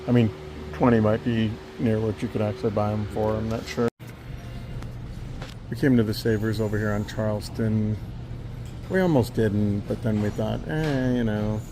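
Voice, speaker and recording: A middle-aged man with a slightly raspy voice, casually talking to himself as he browses a thrift store and films it. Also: lowish voice, background noise. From Las Vegas area. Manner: relaxed, muttering to himself while browsing. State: slightly raspy from dust and a cough. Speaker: thrift vlogger.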